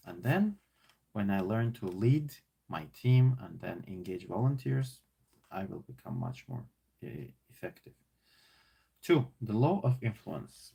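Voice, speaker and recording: A man with an Armenian accent talks through a leadership workbook, musing aloud with plenty of fillers and restarts. His voice sits on the low side and carries a gravelly, slightly husky grain. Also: mellow. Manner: thinking aloud, many fillers and repeats. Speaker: teacher working through a leadership book. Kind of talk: casual self-assessment talk.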